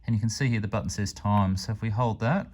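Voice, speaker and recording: A man's voice: on the low side, fairly even intonation, fast talker, a little mellow. A man giving a casual, plain-spoken hands-on product demonstration as a hobbyist reviewer. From Australia.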